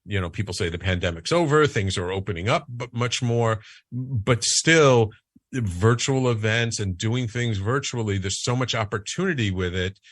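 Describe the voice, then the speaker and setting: Lowish-voiced talk show host. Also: male voice, normal speed, no room sound, studio quality. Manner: animated, stammers and restarts, rising questions.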